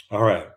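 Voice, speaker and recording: An older American man hosting a live stream, chatting casually and rambling with many fillers and restarts. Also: fairly bright tone. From United States. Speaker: livestream host, retired pilot.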